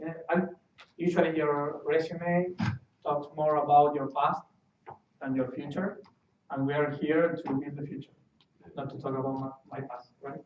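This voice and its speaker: Man, Argentine Spanish accent, technical presentation, halting, repeats words, roomy echo. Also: fairly high pitch, level tone, a little hiss or hum. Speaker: guest speaker. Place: meeting room. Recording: roomy, distant, dull sound.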